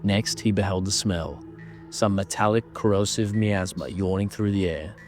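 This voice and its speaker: Low-pitched male horror narrator. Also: steady tone, normal speed, a little mellow, soft background music. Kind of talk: scripted horror story narration.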